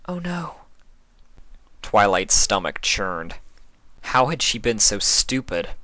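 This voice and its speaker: A man's voice: fairly low pitch, slow pace, bright, forceful tone, dry recording. A man reading fan fiction aloud as dramatic scripted narration.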